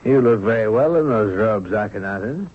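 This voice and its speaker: An actor playing a fussy, avuncular court official who gives careful instructions in a radio drama. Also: male voice, lowish voice, animated, moderate pace. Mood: fussy, instructing. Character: fussy, fatherly court official preparing a young prince. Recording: dull, noisy old radio broadcast.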